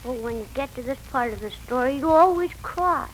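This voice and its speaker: A woman's voice: sits high, expressive, a little fast, a little hiss or hum, low-quality recording. A woman playing a small, curious, candid child in an artless manner, in a 1940s radio drama. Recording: old 1940s radio recording.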